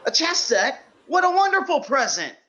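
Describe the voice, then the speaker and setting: A man's voice: extremely high pitch, big pitch swings, moderate pace, bright, forceful tone, full character voice. An actor playing a naive, earnest, bashful male biology teacher in 1940s radio sitcom style, hesitant and literal-minded. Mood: delighted.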